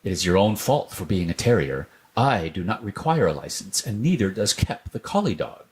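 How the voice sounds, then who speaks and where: Tomcat shopkeeper, male reader: children's story, lowish voice, blunt, suspicious, matter-of-fact. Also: sing-song, moderate pace, slight background noise. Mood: dry, suspicious. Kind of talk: children's storybook character reading.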